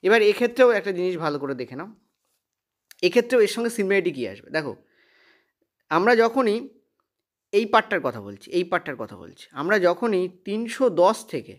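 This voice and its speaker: Fast, high-voiced man teaching. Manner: emphatic repetition with frequent check questions. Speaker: maths teacher. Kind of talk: exam-prep lesson, explaining step by step.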